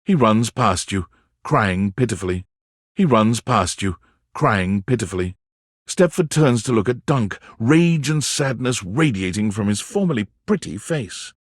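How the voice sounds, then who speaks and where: A wry male narrator guides the player through a playful dating game. His voice is slightly low and husky, with very lively swings in intonation. He tells each scene in an expressive, theatrical storyteller's manner. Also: measured pace, dry recording, studio quality. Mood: dramatic, amused. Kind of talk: scripted second-person narration.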